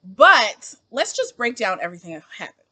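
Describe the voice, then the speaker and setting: A woman in her late twenties talks straight to camera in an opinion vlog. Her voice is bright and a bit high, and it leaps up and down in pitch. She is emphatic and peppers everything with "like.". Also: moderate pace, husky. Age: 20s. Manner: animated, emphatic, heavy use of "like". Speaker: book vlogger.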